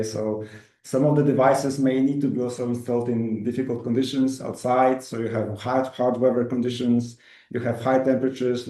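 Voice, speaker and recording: A man's voice: mid-range voice, dark tone. A man hosting a conversational webinar interview, asking questions and recapping to check he has understood. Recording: roomy, echoing room sound.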